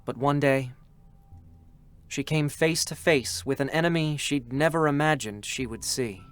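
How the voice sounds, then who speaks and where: A man giving slow, solemn, measured scripted narration at a live reading. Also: a little high, fairly bright tone, smooth.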